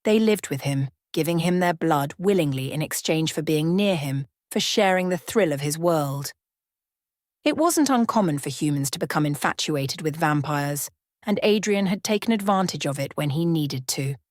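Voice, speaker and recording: Low-pitched woman narrating a bedtime story. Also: lively intonation, moderate pace, darkish tone, no echo, studio quality.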